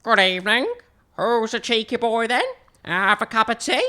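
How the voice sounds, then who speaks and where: Lady doing a cheeky chattering parrot skeleton, sharp clear high voice, kids story. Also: moderate pace. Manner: chattering, stock parrot phrases.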